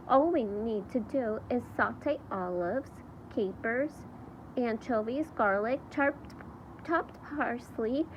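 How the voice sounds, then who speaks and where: A woman's voice: a little high, a little hiss or hum, poor audio. A woman voicing a bookish, polite young orphan boy in a children's storybook.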